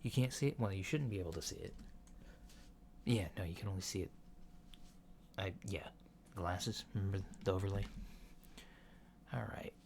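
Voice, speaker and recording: A man playing a casual, reassuring alien doctor in a soft ASMR roleplay, speaking in a mild, hesitant, matter-of-fact way. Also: sits low, moderate pace, long pauses. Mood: quiet, focused. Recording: lo-fi with clear background noise.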